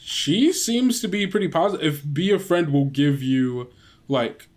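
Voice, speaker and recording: A man improvising narration as game master of a tabletop role-playing game, in a halting, conversational way. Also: a little high, lively intonation, darkish tone.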